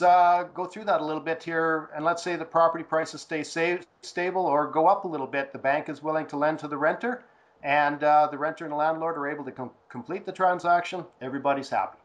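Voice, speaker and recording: A man with a hoarse, gravelly voice from a cold, explaining things steadily over a phone call and asking rhetorical questions. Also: on the high side. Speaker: real estate guest expert.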